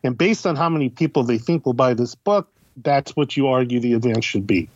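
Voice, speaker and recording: A man's voice: mid-range voice, brisk, no room sound. Dry, slightly muffled man explaining things conversationally. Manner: emphatic, repeats himself, often checks with "you know" and "right?". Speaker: podcast guest, critic and editor.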